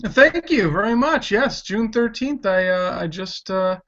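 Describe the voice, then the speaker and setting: A man's voice: high-pitched, expressive, a little slow, fairly bright tone. A man of thirty-two hosting a casual podcast, speaking with enthusiasm and frequent restarts. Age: 30s. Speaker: podcast host. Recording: dull lo-fi sound.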